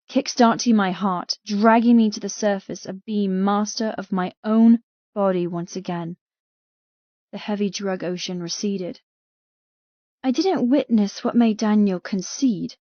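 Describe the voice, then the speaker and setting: A woman's voice: mid-range voice, dry recording, highs cut off. A woman narrating a dramatic first-person novel as a frightened captive. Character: frightened captive young woman telling her story. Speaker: audiobook narrator.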